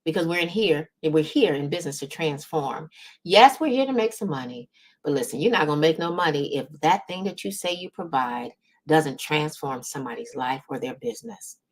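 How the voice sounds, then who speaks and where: A woman's voice: deep voice, lively intonation, fairly quick. A woman host speaking as a business coach in an encouraging, emphatic way, often checking in with the listener. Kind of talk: motivational coaching talk to camera.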